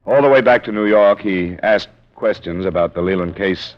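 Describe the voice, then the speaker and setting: A man's voice: low-pitched, normal speed, close to an everyday voice. A man playing a hard-boiled male insurance investigator, narrating in a dry, clipped first-person way in a 1950s radio drama. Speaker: narrator and lead character. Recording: dull old radio broadcast.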